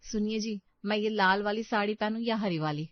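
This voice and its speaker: A woman's voice: medium pitch, normal speed, dry recording, lightly acted. A woman doing a shy, modest young wife. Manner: quiet, modest. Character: shy elder sister-in-law, young wife. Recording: dull dry recording.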